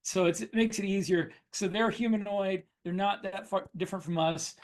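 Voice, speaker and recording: Higher-pitched middle-aged man chatting. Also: moderate pace. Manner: anecdotal, explanatory. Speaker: podcast co-host and science fiction author. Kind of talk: casual conversational discussion.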